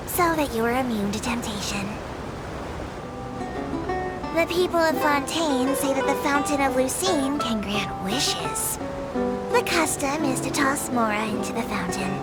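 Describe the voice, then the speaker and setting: A woman voicing a small, polite creature tour guide in a video game, speaking formally and precisely with dry matter-of-fact asides. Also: sits high. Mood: composed, dryly candid. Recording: loud music under the voice. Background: music.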